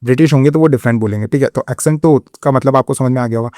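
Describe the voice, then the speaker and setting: A man's voice: medium pitch, very fast, dark tone, no echo, studio quality. A man teaching an exam-prep lecture in Hindi mixed with English terms, speaking with an Indian accent and checking understanding often. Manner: explanatory, repeats "theek hai" to check understanding.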